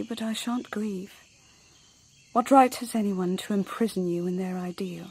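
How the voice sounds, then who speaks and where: An actress playing a cool, self-possessed young woman in a radio drama, with a hushed, candid manner. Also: mid-range voice, breathy edge. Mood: quietly defiant. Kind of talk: intimate radio drama acting.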